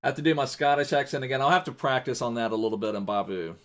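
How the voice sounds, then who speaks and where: A man's voice: mid-range voice, racing. A man streaming a football video game live, chatting casually in a rambling way and thanking viewers as he plays.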